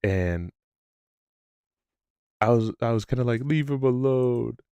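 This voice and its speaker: A man with a low voice chatting casually on a live stream, rambling and self-deprecating. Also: takes it slowly, warm tone, smooth, dry recording, lo-fi sound. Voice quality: low-pitched voice. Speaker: livestreamer. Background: background music.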